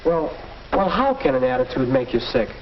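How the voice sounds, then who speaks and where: A man's voice: mid-range voice, sing-song, moderate pace, natural voice. An American young man playing an earnest, naive teenage boy in a 1940s instructional film, asking eager, slightly hesitant questions. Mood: puzzled, curious. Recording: muffled, hissy 1940s film soundtrack.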